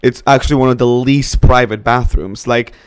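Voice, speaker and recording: A young man co-hosting a casual podcast, talking in a low, raspy voice after an illness, telling stories with drawn-out emphasis. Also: steady tone, no room sound. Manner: chatty storyteller, drawn-out emphasis. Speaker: podcast co-host. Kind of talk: casual chat.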